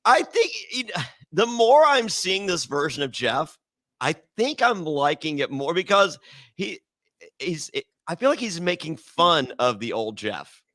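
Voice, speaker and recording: A man's voice: fairly high pitch, big pitch swings, unhurried, fairly bright tone, no room sound, studio quality. A man hosting a casual recap chat, joking and laughing often, with frequent filler uhs. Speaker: podcast host.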